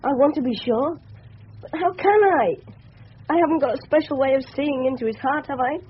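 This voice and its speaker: An actor playing an earnest, polite teenage English schoolboy in a 1970s radio drama, well-spoken. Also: male voice, extremely high pitch, a little fast. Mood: troubled. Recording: muffled, noisy old radio broadcast.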